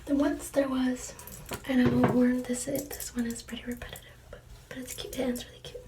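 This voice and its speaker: A young woman reads picture books in a soft ASMR whisper and drops in giggly asides. Her high voice stays flat and even. The mic is far off, and a clear hiss with clicks sits underneath. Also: fairly quick, echoey room. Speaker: storybook reader. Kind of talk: whispered ASMR story reading. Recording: distant mic, clear noise.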